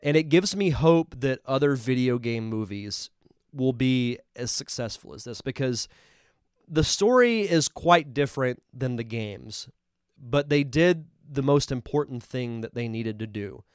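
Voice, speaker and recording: A man's voice: medium pitch, animated, measured pace, clean tone, no room sound, clean studio sound. A man with a congested voice hosting a live podcast, chatting casually and enthusiastically as he reviews a film. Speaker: podcast host.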